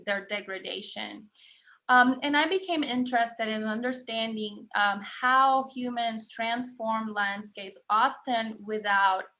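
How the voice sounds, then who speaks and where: A woman's voice: a little high, pushed and bright. A woman from Puerto Rico giving an academic seminar talk as a guest lecturer, conversational, with fillers and restarts.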